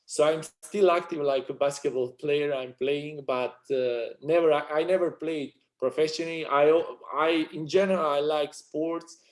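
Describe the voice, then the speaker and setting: Serbian-accented man, halting English. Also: fairly high pitch, fairly even intonation. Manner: halting non-native English, frequent restarts and fillers. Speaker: sports agency owner. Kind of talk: casual interview chat.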